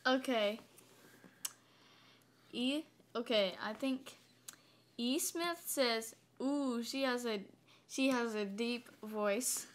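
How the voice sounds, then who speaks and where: A woman reading viewer comments aloud in a casual home video, hesitant, with many ums and restarts, and a deep voice. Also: drawn-out words, pauses often.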